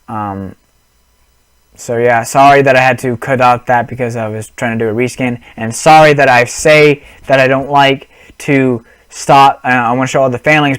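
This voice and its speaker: A man's voice: medium pitch, a bit bright, no room sound. A young man on a headset mic, rambling through a casual gaming vlog with lots of restarts and apologies. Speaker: gaming vlogger.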